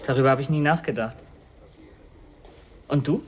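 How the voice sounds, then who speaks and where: A young actor in a radio play playing an earnest, searching youth, pensive, halting and intense. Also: male voice, medium pitch, natural voice.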